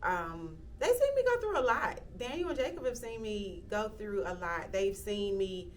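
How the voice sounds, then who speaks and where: A woman, speaking reflectively in an interview, often pausing with "um" and restarting sentences. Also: mid-range voice, very animated, recorded from a distance, a little hiss or hum. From Athens, Georgia, US. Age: 30s. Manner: reflective, with frequent "um" fillers and restarts. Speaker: interviewee, local county commissioner. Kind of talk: casual interview talk.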